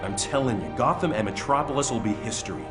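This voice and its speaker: Actor as an earnest superhero over loud music. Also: male voice, medium pitch, expressive, fast talker, close to an everyday voice. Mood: urgent pleading. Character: earnest young superhero speedster, male, urgent and pleading. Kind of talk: video game cutscene acting. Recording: loud game music behind.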